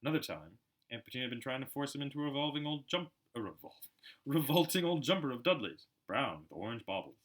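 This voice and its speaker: A man narrating a storybook aloud in an expressive, dramatic way, as an amateur audiobook reader. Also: medium pitch, quick pace. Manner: animated, dramatic.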